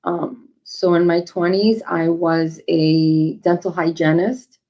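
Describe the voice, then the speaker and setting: A woman in her forties, originally from New York, speaks as a guest on a casual podcast. Her voice sits a little low, and she talks in a calm, measured way, laying out her thoughts in steady statements. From New York City, raised in northern New Jersey. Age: 40s.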